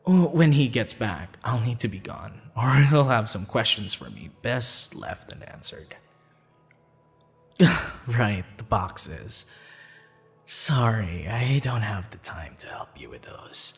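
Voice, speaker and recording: A man playing a courteous, flirtatious assassin in an intimate ASMR roleplay, smitten and slightly sheepish, speaking softly and formally with hesitant pauses. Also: on the low side, animated, creaky, heavily acted. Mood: warm, apologetic. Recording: long reverb, music behind.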